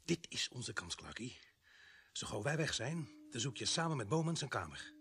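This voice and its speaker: An actor playing a curt, commanding police sergeant in a radio play. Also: male voice, medium pitch, very animated, moderate pace, vocal fry. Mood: gruff, commanding. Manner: curt, bossy, swearing. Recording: noisy radio drama recording.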